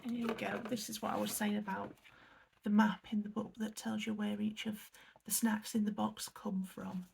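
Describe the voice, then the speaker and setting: A woman's voice: mid-range voice, flat delivery, normal speed, gravelly, a little mellow, a little hiss or hum. An English woman chatting casually as she unboxes a snack box, reading the product blurbs aloud and apologising for her pronunciation. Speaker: unboxing vlogger. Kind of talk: casual chat while unboxing.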